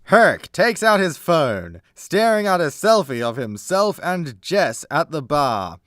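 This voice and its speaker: Guy narrator for a superhero comedy radio play, really lively up and down, takes his time, dry sound. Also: fairly high pitch, slow pace, no room sound.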